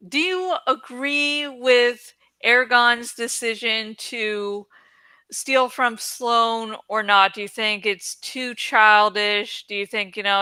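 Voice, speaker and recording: A woman's voice: fairly high pitch, pushed and bright, smooth, no room sound. A woman host chatting in a rambling, informal, giggly way about a book, swearing freely. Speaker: host of a book read-along channel.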